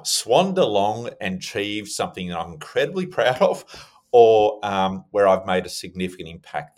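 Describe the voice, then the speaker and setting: A male interview host with a low-pitched voice speaks conversationally. He is effusive and winds his way through his questions and reflections.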